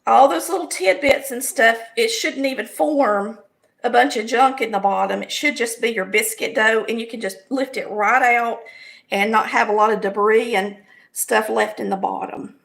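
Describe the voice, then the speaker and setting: A middle-aged woman with a raspy, hoarse voice and a Southern US accent, chatting folksily as she demonstrates a recipe step by step in her home kitchen. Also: on the high side, normal speed, a bit bright. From American South. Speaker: home cooking show host. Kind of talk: casual cooking demonstration.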